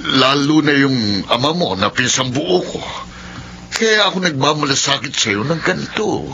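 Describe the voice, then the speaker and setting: A man's voice: a little high, animated, normal speed, gravelly, pushed and bright, exaggerated voice. An actor playing a stern, scheming middle-aged uncle who scolds his niece. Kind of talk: radio-drama acting. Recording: muffled lo-fi recording, faint hum.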